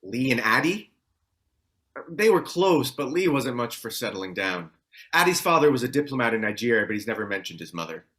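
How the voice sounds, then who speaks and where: Concerned husband and father, lively delivery from a male actor. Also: medium pitch, fairly quick. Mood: conversational. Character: John, anxious protective husband and father. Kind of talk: table read acting.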